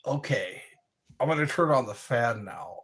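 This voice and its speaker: A man's voice: medium pitch, lively intonation, normal speed, a bit bright. A middle-aged man hosting a live stream, rambling in casual chat, reacting to viewers and laughing at his own jokes.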